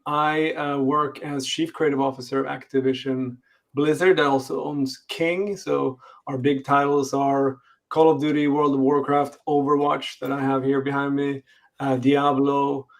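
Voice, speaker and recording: A middle-aged man with a Swedish accent, a company executive answering interview questions over a video call, hesitant with lots of fillers and restarts. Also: mid-range voice. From Sweden. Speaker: games company executive guest.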